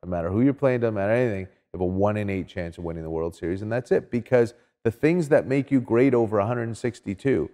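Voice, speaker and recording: A man's voice: fairly low pitch, fast talker, few pauses, mellow, big-room sound. A middle-aged man giving emphatic, opinionated sports talk in a casual interview. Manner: emphatic, checks in with "right?". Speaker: sports commentator guest. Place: small indoor studio set with couches.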